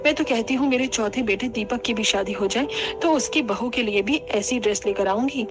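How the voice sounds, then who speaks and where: A woman's voice: a little high, moderate pace, close to an everyday voice. A woman voicing a haughty, scornful middle-aged mother-in-law in a cartoon, proud of being fashionable. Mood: boastful. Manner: scolding, disdainful.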